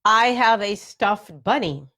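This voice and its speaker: A woman's voice: mid-range voice, animated, slow pace, pushed and bright. A woman hosting a radio interview show.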